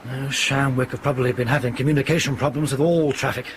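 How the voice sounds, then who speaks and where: An actor playing a stern, authoritative airline captain in a radio drama, with a clipped, commanding manner. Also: male voice, medium pitch, fairly even intonation, quick pace, a little hiss or hum, natural voice. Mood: worried, brisk.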